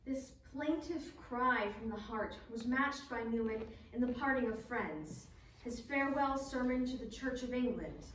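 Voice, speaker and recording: A woman narrates history from a script in a formal, measured manner. Her slightly high voice sits away from the microphone in a very reverberant space with faint noise. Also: lively intonation. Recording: distant, very echoey, faint background noise.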